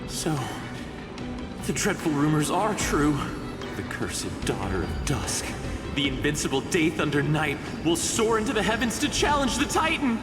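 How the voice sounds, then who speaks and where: Game tribesman: theatrical dread and accusation, higher register, big inflection, reverberant. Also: sing-song, measured pace. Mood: dread, scorn. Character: fearful, accusing tribesman, dramatic and declamatory. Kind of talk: theatrical video game voice acting. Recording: echoey hall under loud music.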